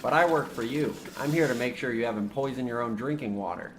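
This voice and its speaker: A man's voice: medium pitch, fast, natural voice. Man playing an earnest young inspector, noisy background. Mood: earnest, firm. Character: earnest young government safety inspector, well-bred. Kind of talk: comic radio play acting.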